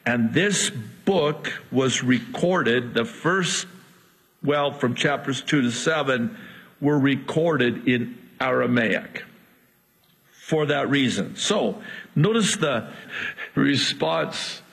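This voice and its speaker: A man whose first language is Arabic, teaching a verse-by-verse sermon in an animated way with quips between verses. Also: mid-range voice, slow, gravelly, breathy edge, big-room sound, rough recording. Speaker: Bible teacher.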